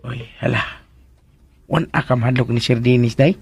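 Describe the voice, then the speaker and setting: An actor playing a jittery, lovestruck comic household helper in a radio drama, stammering and exclaiming. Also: male voice, medium pitch, normal speed, creaky, darkish tone, husky, no room sound. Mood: worried.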